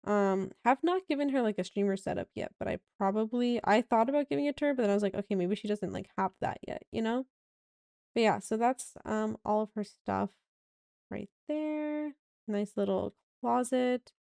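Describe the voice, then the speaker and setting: Raspy young woman touring a build. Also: on the high side, moderate pace, a little mellow, dry recording, clean studio sound. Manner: rambling, many fillers. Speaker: game builder giving a house tour. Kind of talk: casual narrated gameplay tour.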